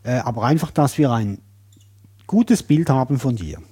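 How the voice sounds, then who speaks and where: A man hosting an interview podcast, asking gentle questions in a friendly, joking way. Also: mid-range voice, animated, normal speed, husky. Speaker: podcast host.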